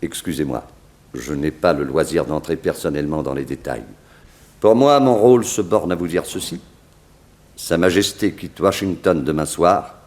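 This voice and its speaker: A stately male president in a 1960s French radio serial. The voice is very deep, and the delivery is courteous, ceremonious and full of solemn authority. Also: moderate pace, a little mellow. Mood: grave, commanding. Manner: formal, ceremonious.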